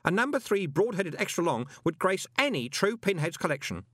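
A man's voice: high-pitched, very animated, brisk, a bit bright, no echo, studio quality, voice pushed up, heavily acted. A man voicing an earnest, obsessive teenage boy who speaks in a precise, scholarly way.

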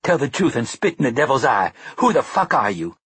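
A man voicing a brash, crude, mocking woman with a rasping voice in an audiobook. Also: mid-range voice, big pitch swings, a little fast, fairly bright tone, no echo, pitched above the performer's own voice, heavily acted.

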